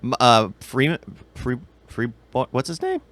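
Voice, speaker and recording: A man with a medium-pitched voice talks casually as a podcast co-host. He explains things in a loose, rambling way. The recording is dry, without any echo. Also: huge pitch swings, measured pace, fairly bright tone. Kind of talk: casual chat about game movies.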